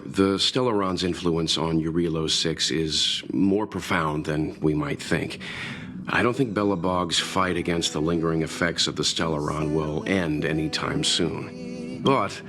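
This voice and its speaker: A man voicing a thoughtful, kindly mentor in a video game, with a measured, reflective and gentle delivery. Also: low voice. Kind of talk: scripted video game dialogue. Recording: music behind the voice.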